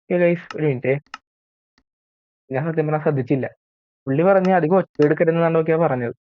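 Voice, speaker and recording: A young man with a Malayali accent giving casual, self-mocking commentary while he plays a horror game. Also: fast. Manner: chatty and self-mocking, admits he scares easily. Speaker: gaming YouTuber. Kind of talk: casual let's-play commentary. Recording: tinny, low-quality mic.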